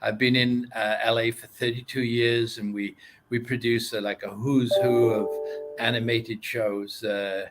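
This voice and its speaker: A man's voice: medium pitch, level tone, unhurried, over loud music, low-quality recording. A man from Wales with a Welsh accent, an animation producer and interview guest who talks hesitantly, with lots of uh and um.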